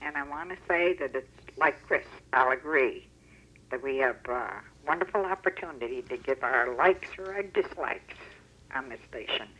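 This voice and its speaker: A woman's voice: rumbling low, lively intonation, moderate pace, fairly bright tone. A woman calling in to a radio show over the telephone, chatting casually and with emphatic enthusiasm. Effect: telephone line. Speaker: regular phone-in caller. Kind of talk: casual chat on a radio phone-in.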